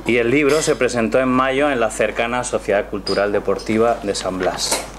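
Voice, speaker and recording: A man giving a measured, reflective presentation of his book before an audience in a small cultural café. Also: medium pitch, fairly even intonation. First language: not Catalan. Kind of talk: prepared presentation speech with poetry reading. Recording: noisy room ambience.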